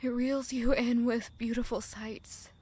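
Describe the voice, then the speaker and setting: A woman's voice: a little high, level tone, dark tone, husky. A woman playing an anguished, weary young village girl in a dramatic, emotional audio drama monologue. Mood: bitter, weary. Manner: emotional, slow, deliberate delivery with rhetorical questions.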